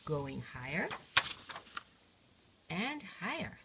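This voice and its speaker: An older woman reading a rhyming picture book aloud like a grandmother, measured and singsong. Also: low-pitched, big pitch swings, creaky, very noisy.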